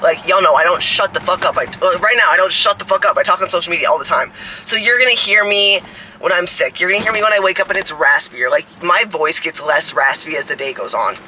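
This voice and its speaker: A woman in her mid-twenties with a deep, raspy voice, rambling casually to a phone camera outdoors, full of "like" fillers and swearing. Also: fast, sharp tone, slight background noise, rough recording. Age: 20s. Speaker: fitness coach and creator. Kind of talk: casual vlog talk to camera.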